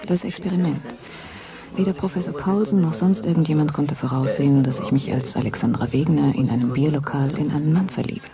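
A woman's voice: deep voice, a little fast, a crowd in the background. An actress playing a reflective young woman lost in time, delivering an inward, pensive radio drama monologue. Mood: pensive, troubled.

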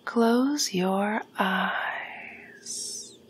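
A woman as a slow whispering vampire. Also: mid-range voice, a little hiss or hum. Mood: hypnotic. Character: seductive female vampire feeding on the listener. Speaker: ASMR roleplay performer. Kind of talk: ASMR roleplay. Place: dark room with backdrop.

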